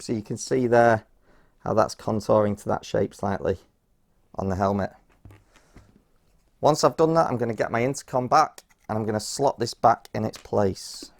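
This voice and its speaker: A man with a noticeably gravelly, fry-edged voice presents a hands-on tutorial. He sits at an ordinary male pitch and explains things step by step, keeping it informal, practical and instructional. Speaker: presenter of a how-to product guide.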